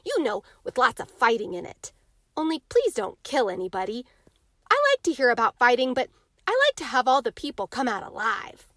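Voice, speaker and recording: A woman's voice: a little high, a bit bright, no room sound, clean studio sound, full character voice. A woman voicing a confident, eager ten-year-old boy in an emphatic, earnest storybook read-aloud. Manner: emphatic, childishly earnest and boastful.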